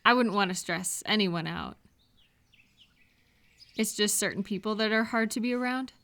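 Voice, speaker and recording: A woman acting a caring, cheerful friend in a scripted roleplay audio, talking gently and attentively to the listener. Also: mid-range voice, close to an everyday voice. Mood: gentle, concerned. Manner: gentle, unhurried, attentive questioning with pauses for replies.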